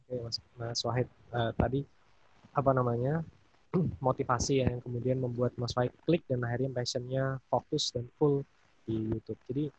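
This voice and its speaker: Man, moderator, husky voice, dull dry call audio, polite, hesitant fillers. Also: medium pitch, steady tone, moderate pace. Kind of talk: online seminar moderation.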